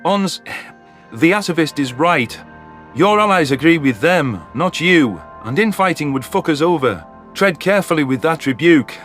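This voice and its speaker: A grumbling old alien soldier played by a male narrator, gruff in manner yet fairly high in pitch. Light music sits behind the sci-fi drama. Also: animated, normal speed, fairly bright tone. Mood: grumbling warning.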